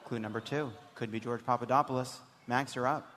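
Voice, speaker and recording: A man's voice: mid-range voice, steady tone, fairly quick. A man hosting a live comedy panel quiz with teasing, mock-solemn patter. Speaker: comedy show host and quizmaster. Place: live stage before an audience. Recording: echoey hall, loud background noise.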